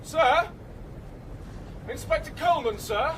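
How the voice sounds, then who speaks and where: An actor playing a naive, overeager young police constable. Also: male voice, very high voice, expressive, slow, a bit bright, heavily acted. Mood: cheerful. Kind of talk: radio crime drama acting. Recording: radio drama with background noise.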